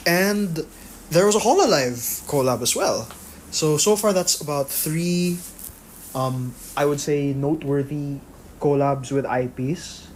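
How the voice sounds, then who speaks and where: A man's voice: a little high, lively intonation, takes it slowly, a little hiss or hum, poor audio. A man co-hosting a podcast in casual chat, rambling and full of fillers. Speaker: podcast co-host.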